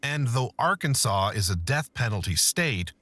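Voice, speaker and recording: Lively true-crime narrator, dry sound. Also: male voice, mid-range voice, no room sound. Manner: measured storytelling. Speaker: documentary narrator.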